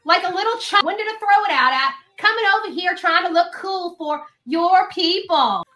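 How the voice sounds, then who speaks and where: A woman's voice: extremely high pitch, animated, normal speed, sharp tone. A woman speaking off the cuff as an online commentator, in an emphatic, repetitive, confrontational manner. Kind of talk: unscripted rant and advice to camera. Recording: roomy echo with faint noise.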